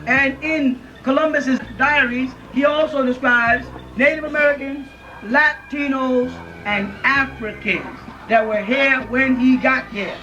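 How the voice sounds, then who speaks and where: Male lecturer, high bright voice, emphatic, audience checks, far-off lo-fi mic. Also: steady tone, unhurried. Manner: emphatic, keeps checking in with listeners. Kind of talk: informal lecture. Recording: dull lo-fi audio from a distant mic.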